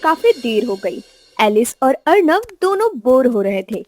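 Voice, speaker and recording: A woman tells a love story as a dramatic narrator. Her voice is very high and moves around expressively, with music running underneath. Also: big pitch swings, measured pace, darkish tone, no room sound, studio quality.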